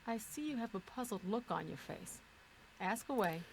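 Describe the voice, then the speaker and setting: A woman's voice: a little high, lively intonation, moderate pace, vocal fry, slight background noise, rough recording. An actress playing a composed, matter-of-fact female town guard in a fantasy video game. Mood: explaining, matter-of-fact.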